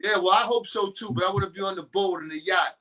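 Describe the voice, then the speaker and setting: A man's voice: sits high, fast talker, bright, forceful tone, a little hiss or hum. A man as a guest on a boxing talk show, giving loose and opinionated casual talk.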